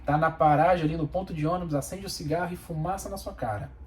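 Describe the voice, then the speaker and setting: A man's voice: a little high, fairly even intonation, quick pace, slight background noise. A Brazilian man speaking Portuguese with a Brazilian accent, a bus driver giving his plain-spoken, opinionated views in a casual vlog and repeating himself for emphasis.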